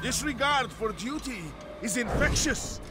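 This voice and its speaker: An actor playing a haughty, stern middle-aged lord and regent in a video game, speaking in a formal, imperious way. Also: male voice, extremely high pitch, animated, measured pace. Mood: stern, threatening. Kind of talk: dramatic video game acting. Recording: loud music under the voice.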